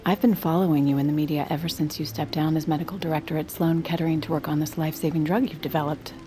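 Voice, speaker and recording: An actress playing a teasing, gossipy psychiatrist in an audio drama. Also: female voice, sits low, steady tone, fast talker, warm tone, lightly acted. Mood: charming. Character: gossipy, sly woman psychiatrist.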